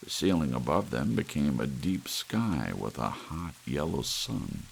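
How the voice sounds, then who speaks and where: A man narrating a scripted suspense story as an ASMR audiobook, with soft rain behind him. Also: extremely low pitch, sing-song, measured pace, creaky. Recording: faint hiss and soft rain.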